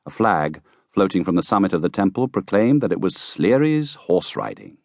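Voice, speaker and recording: A man reading a classic novel as an audiobook narrator, with expressive, theatrical storytelling. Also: low voice, moderate pace, gravelly, dry recording, studio quality. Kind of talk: scripted literary narration.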